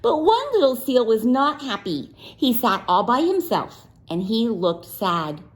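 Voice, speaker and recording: A woman teacher reading a picture book aloud to young children, pausing to ask them questions in a playful, sing-song way. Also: on the high side, sing-song. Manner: slow and deliberate, pausing for answers.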